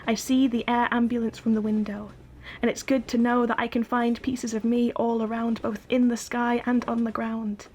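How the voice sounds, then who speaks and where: Woman reciting poetry in a flat voice. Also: fairly high pitch, normal speed. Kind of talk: spoken-word poetry.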